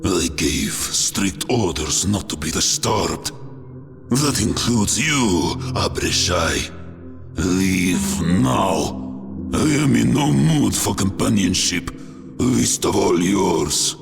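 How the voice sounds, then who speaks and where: Harsh, rattling, brooding warrior. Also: male voice, low voice, animated, creaky, heavily acted. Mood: cold anger. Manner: slow, bitter, archaic formal speech. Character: brooding, grief-stricken, wrathful male Night Lord space marine. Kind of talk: dramatic audio story acting. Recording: long cavernous reverb, music underneath.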